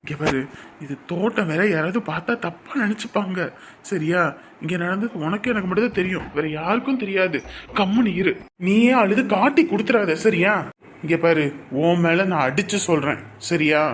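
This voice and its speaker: A performer playing an earnest young man quietly reassuring his fiancée in a family drama. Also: mid-range voice, normal speed, slight background noise, lightly acted. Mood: hushed. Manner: hushed, insistent, reassuring.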